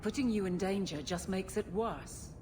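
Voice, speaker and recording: An actress playing a proud guard captain who is blunt, flustered and halting, awkward about romance, in a video game cutscene. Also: female voice, mid-range voice, crowd noise, lightly acted. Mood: nervous, resigned.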